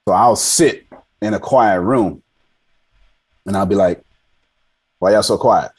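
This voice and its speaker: Low-voiced man of forty telling stories. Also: slow pace, darkish tone, husky. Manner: self-deprecating, emphatic, repeats phrases for effect. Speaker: podcast guest, army veteran. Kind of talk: casual storytelling interview. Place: indoors on a video call.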